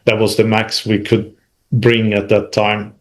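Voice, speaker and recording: A man from Sweden, speaking as an interview guest about his working life in a halting, self-correcting conversational style. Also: fairly low pitch, completely flat delivery. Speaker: interview guest, manufacturing executive. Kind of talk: recounting career experience in conversation.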